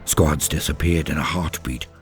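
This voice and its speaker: A man playing a grave, embittered space-marine veteran, solemnly narrating a dramatic war story in a husky voice with deliberate, heavy pauses. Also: very deep voice, fairly even intonation, faint music behind, voice pushed down. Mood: haunted, grim. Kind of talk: dramatic scripted first-person story narration.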